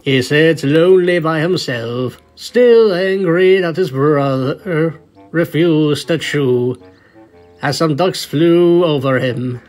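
Slow-paced male storyteller, slightly high voice. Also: darkish tone, faint music behind. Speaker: fanfiction reader, narrator. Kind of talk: scripted storybook narration.

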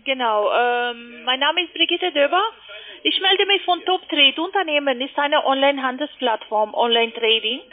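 High-pitched female telemarketer on the phone. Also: moderate pace. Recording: tinny narrow phone line.

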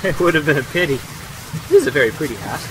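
A man playing a courteous, humble, obliging gentleman in a period audio drama, speaking formally and deferentially. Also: a little high, fast, noisy background, lightly acted. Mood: apologetic. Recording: steady rain sound effects behind.

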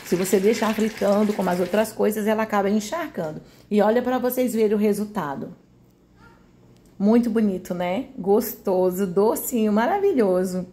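A woman's voice: mid-range voice, normal speed, warm tone. A Brazilian woman giving a casual recipe voice-over in a chatty, homely way, often adding little tag questions to check agreement. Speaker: home cooking video host.

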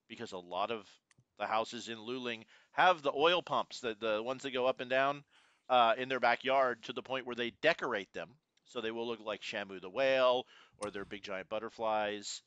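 A man's voice: mid-range voice, bright, forceful tone, smooth, no room sound, highs cut off. A man in his forties from Houston, co-hosting a podcast and telling rambling stories with many fillers. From Houston, Texas. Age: 40s. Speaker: podcast co-host. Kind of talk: casual chat.

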